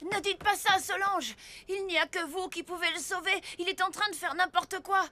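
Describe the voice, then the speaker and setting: An actress playing a plucky young child hero in a French children's cartoon, urgent and insistent. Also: female voice, very high voice, steady tone, normal speed, bright, forceful tone, a little hiss or hum. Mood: urgent pleading. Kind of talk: children's animated cartoon dub.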